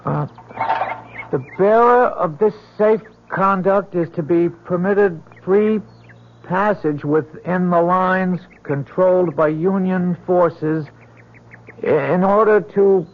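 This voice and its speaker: An actor playing a timid, flustered, obedient soldier in an old-time radio drama, stammering and halting over his words. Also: sits high. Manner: stammering, slow halting reading. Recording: muffled old radio recording.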